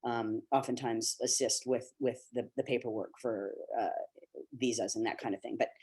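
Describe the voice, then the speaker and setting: A man's voice: medium pitch, fairly even intonation, normal speed, a little mellow, husky. A middle-aged man from Canada, an actor speaking on a video call webinar, talking earnestly and encouragingly with many fillers and restarts. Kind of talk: candid talk to young actors.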